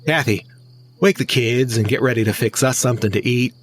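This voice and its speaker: Curt, bossy actor as a pioneer husband. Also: male voice, medium pitch, brisk, slight background noise, close to an everyday voice. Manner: curt, domineering. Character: bossy, hot-tempered pioneer husband and father. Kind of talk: historical audio drama acting.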